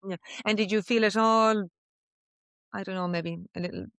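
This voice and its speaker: A woman hosting a podcast in a clear, smooth voice. She is supportive and keeps the talk moving with agreeing remarks, sometimes restarting her sentences. The sound is dry and close. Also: medium pitch, steady tone, normal speed, a bit bright, dry recording. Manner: supportive, frequent "mm" and "yeah" backchannels, hesitant restarts. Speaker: podcast host. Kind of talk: podcast interview.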